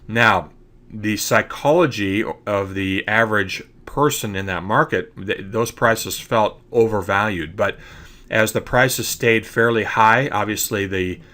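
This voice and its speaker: A man's voice: fairly low pitch, a little slow, pushed and bright. Congested man giving a trading lecture. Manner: explanatory, repeats "okay?" to check understanding. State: congested from allergies. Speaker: trading educator. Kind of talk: screen-recorded slide lecture.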